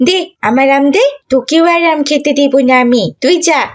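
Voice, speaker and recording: A woman's voice: high-pitched, normal speed, close to an everyday voice. A woman voicing a spiteful, petulant cartoon schoolgirl with a bossy, sneering way of talking.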